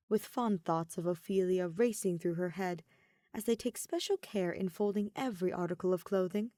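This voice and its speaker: A woman reading scripted fiction as a narrator, with expressive, measured storytelling. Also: medium pitch, no room sound, clean studio sound. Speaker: podfic narrator.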